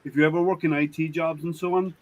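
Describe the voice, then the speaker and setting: A man's voice: a little high, little pitch movement, fast. A man with an Irish accent, a guest on a video call, teasing the host with dry wit. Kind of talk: casual chat.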